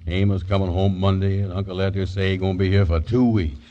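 A man's voice: deep voice, little pitch movement, fast talker, warm tone, highs cut off, close to an everyday voice. An actor playing a bluffing, dim-witted man in a 1940s radio comedy, stammering hesitantly in folksy, ungrammatical speech. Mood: worried. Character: bluffing, flustered, dim-witted man hosting his uncle. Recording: vintage 1940s live radio broadcast.

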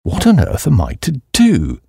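A man voicing a hapless, dim young upper-class English gentleman who blusters and rambles in slang. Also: medium pitch, wildly swooping pitch, moderate pace, vocal fry, breathy edge, dry recording, heavily acted. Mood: gloomy, rambling.

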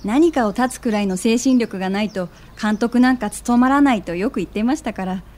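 An actress playing a refined, gentle middle-aged wife of a baseball manager, confiding politely in an audio drama. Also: female voice, a little high, fairly quick, lightly acted. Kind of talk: acted audio documentary dialogue.

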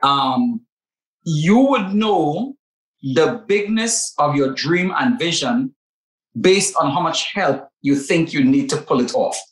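A man's voice: a little high, animated, slow pace. Emphatic preacherly man in a roomy space. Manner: emphatic, repeats words when stressing a point. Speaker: co-host of a Bible talk show. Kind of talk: preacherly faith talk. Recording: roomy echo.

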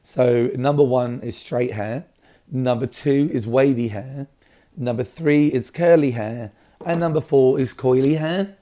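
A man with a slightly raspy voice, talking casually and keenly as an interview guest about his work. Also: mid-range voice, measured pace, mellow. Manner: enthusiastic. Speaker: hairdresser, salon owner, interview guest.

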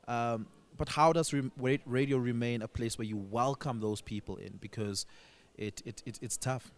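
A man's voice: medium pitch, steady tone, normal speed. Male radio host, South African accent, mid-range voice, reflective and questioning in a discussion format. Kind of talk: discussion interview.

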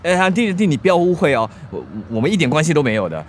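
A man's voice: fairly high pitch, very animated, rapid-fire, slight background noise. A male actor in a radio drama playing a proud, easily flustered young driver with a Singaporean accent, blustering and stammering. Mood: flustered, nervous. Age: young adult.

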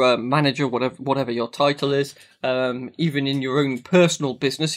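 Man, slightly high voice, sniffly with a cold, casual advice talk, lots of ums. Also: fairly bright tone. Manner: conversational, repeats phrases, many ums.

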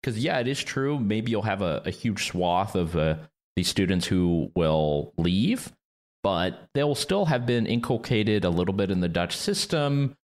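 A very deep-voiced man thinks aloud on a podcast as co-host. He wanders into asides and lets out light chuckles. His pace stays relaxed and conversational. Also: measured pace. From Quebec, Canada. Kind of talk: casual discussion.

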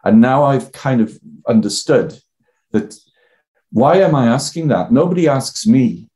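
An older Welsh man from the South Wales Valleys, talking as a guest in a reflective, halting way with many restarts. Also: mid-range voice, lively intonation, a little mellow. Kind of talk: reflective personal interview talk.

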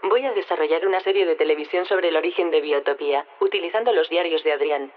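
A woman's voice: deep voice, fast, close to an everyday voice. A woman playing an efficient artificial intelligence, speaking precisely and fluently in a matter-of-fact manner in an audio drama. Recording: tinny phone or radio link.

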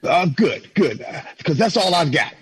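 Flustered husky 1950s family man. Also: a little high, animated, unhurried, heavily acted. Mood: flustered, polite. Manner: hesitant, stammering, folksy exclamations. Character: harried, affectionate 1950s businessman husband and father. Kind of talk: old-time radio drama acting.

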